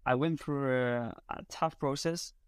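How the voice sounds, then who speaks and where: A man's voice: medium pitch, unhurried, clean tone, dry recording, studio quality. A man from Spain with a Spanish accent, a native Spanish speaker talking casually in English as an interview guest, often searching for his words. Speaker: interview guest, content creator. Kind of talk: casual conversation.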